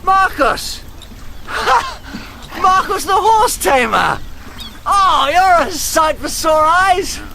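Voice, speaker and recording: Brief: proper young English gentleman, very high animated voice, earnest, radio drama with loud background noise. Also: drawn-out words, vocal fry, pauses often, full character voice. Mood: delighted. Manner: hesitant, proper, indignant when pushed. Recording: loud noisy ambience behind dialogue.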